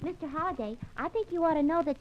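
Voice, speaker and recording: An actress playing a chirpy, naive, nosy young secretary in 1940s radio comedy style, gushing and wide-eyed. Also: female voice, sits high, quick pace, music in the background, natural voice. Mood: excited curiosity. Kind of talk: 1940s radio comic acting. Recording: dull noisy 1940s radio recording.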